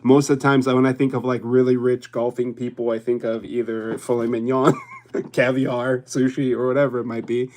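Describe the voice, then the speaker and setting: A man's voice: mid-range voice, level tone, normal speed, darkish tone, slight background noise. A young man from Hawaii hosting a casual livestream, chatting with his viewers in a rambling way and laughing often. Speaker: YouTube livestream host.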